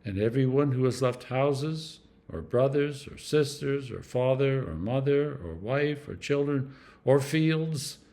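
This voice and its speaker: A clergyman reading scripture for a meditation series, in measured phrases with emphatic stresses. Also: mid-range voice, lively intonation, dark tone.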